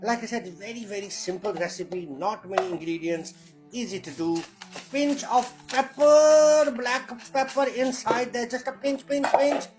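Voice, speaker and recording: A man with a broad Yorkshire accent talks through a recipe in his home kitchen, cursing constantly and cracking blunt jokes. His voice sits high for a man. Faint music plays underneath. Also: measured pace. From Yorkshire, England. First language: Indian heritage. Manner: crude, swearing, jokey and blunt. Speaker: cooking show host. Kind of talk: sweary comic recipe demo.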